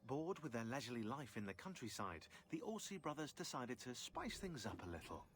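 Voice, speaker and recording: A man's voice: mid-range voice, very animated, fairly quick, fairly bright tone. A man playing a wry, sardonic historian who narrates villain biographies in a video game. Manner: wry, sardonic, droll storytelling.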